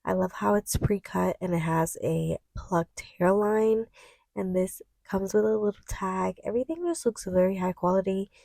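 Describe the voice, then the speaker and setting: A woman's voice: medium pitch, moderate pace, vocal fry, warm tone, no echo. A woman beauty vlogger with a slightly stuffy voice, chatting casually and gushingly to viewers about a product. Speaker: beauty vlogger reviewing wigs. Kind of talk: casual chat to viewers, product unboxing voice-over.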